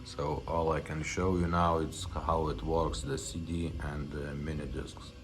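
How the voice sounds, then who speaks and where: A man's voice: rumbling low, flat delivery, a little slow, music in the background. A man casually demonstrating a product, speaking in a plain, slightly hesitant way. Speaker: presenter testing vintage car radios.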